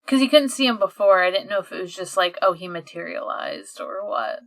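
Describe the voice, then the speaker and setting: Podcast co-host, woman, bright and punchy tone, animated casual chatter, loose language. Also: medium pitch, fairly quick. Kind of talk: casual chat, recapping a fan comic.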